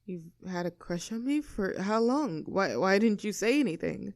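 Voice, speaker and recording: A woman playing a creaky-voiced, caring young tomboy. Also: mid-range voice, animated, no room sound, clean studio sound, close to an everyday voice. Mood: tender, shy. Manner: casual, teasing, tender, halting when flustered. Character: easygoing, caring young tomboy friend with a secret crush. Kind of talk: intimate scripted audio roleplay.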